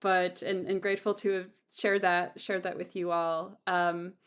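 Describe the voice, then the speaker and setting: A woman's voice: fairly low pitch, level tone, a bit bright, clean tone. A woman talking casually and reflectively, earnest and effusive, often circling back and repeating herself as she goes. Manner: earnest, effusive, halting with self-repetition. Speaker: audio drama creator and host.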